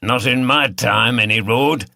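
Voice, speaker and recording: A man's voice: mid-range voice, a little slow, vocal fry, bright, forceful tone, no room sound, clean studio sound, exaggerated voice. A man voicing an earthy, blunt old village local in an audiobook. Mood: shocked. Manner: blunt, colloquial.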